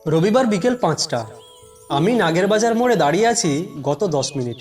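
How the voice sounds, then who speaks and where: Guy reading a spooky thriller story, high male voice, measured and dramatic, music under it. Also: animated, darkish tone. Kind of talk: scripted first-person suspense narration. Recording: music bed behind the voice.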